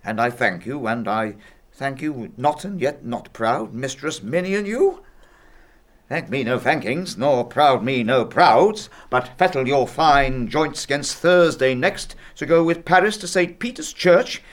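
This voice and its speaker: A man's voice: mid-range voice, lively intonation, unhurried, lightly acted. An actor playing a tyrannical, hot-tempered father and lord of the house in a declamatory Shakespearean style. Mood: incredulous, simmering. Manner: declamatory, swinging from hushed menace to furious shouting. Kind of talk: Shakespearean stage drama acting.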